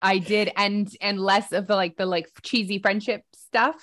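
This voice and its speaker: A woman in her thirties co-hosting a podcast, teasing and excitable, saying "like" a lot. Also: mid-range voice, normal speed. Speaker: podcast co-host. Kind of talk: casual podcast chat.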